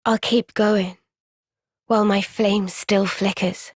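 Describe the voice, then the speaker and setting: A woman playing an earnest, gentle young female soldier in a video game cutscene, speaking with measured, sincere resolve. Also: medium pitch, flat delivery, dry recording, studio quality. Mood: thoughtful, earnest.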